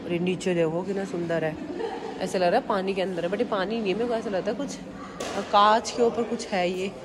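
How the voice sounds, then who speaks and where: A young woman with an Indian accent talks casually into a phone held at arm's length, pointing things out to her viewers. Her voice sits at a middle pitch. Steady background noise from the busy museum halls fills the recording. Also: fairly quick. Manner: chatty, addresses viewers directly. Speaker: travel vlogger. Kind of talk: casual walking tour vlog. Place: large indoor museum halls. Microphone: handheld phone at arm's length.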